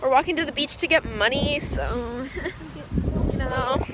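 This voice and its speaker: A woman's voice: high voice, a little slow. A teenage girl chatting casually into a handheld phone on a beach, with a lot of "like" and laughter. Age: teens. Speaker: vlogger. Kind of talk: casual vlog chat. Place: outdoors on a beach. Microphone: handheld phone camera. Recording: loud surf noise on a phone. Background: surf and wind.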